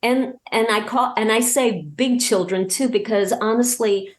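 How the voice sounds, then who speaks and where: A woman's voice: fairly high pitch, a little slow. A woman guest chatting casually in an interview about her own creative work, acting out bits of dialogue as she tells it. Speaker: guest, audio drama podcast creator.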